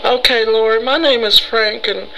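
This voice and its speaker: A man putting on a frightened, rambling, easygoing fellow who pleads haltingly and melodramatically for help in an improvised prank call. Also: very high voice, steady tone, creaky, pushed and bright, exaggerated voice, low-quality recording. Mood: nervous, earnest. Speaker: prank caller.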